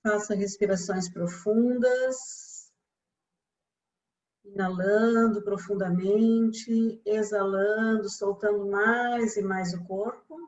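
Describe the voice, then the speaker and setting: Brazilian female yoga instructor, very unhurried and soothing, for guided relaxation; slightly muffled, lo-fi recording. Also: medium pitch. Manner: calm, soothing instruction with long pauses.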